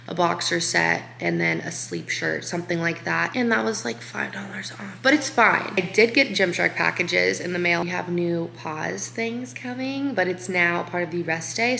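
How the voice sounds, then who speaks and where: A young woman in her twenties, vlogging in a chatty, animated, slangy way to a handheld camera. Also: fairly low pitch, vocal fry, slight background noise. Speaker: lifestyle vlogger. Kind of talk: casual vlog chat to camera. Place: home, car and shops, in and out. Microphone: handheld camera at arm's length.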